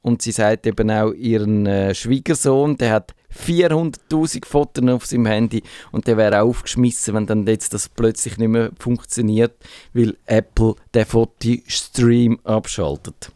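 A man's voice: on the low side, normal speed, dark tone, clean tone, dry recording. Swiss German man, radio tech host, chatty and explanatory, dry close mic. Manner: chatty, explaining, reads listener mail aloud. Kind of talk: casual radio chat.

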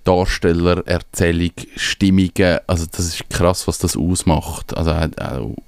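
A man's voice: low-pitched, little pitch movement, unhurried, vocal fry, clear, resonant tone, no room sound. A man with a Swiss German accent co-hosting a podcast in a casual, conversational way. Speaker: podcast co-host.

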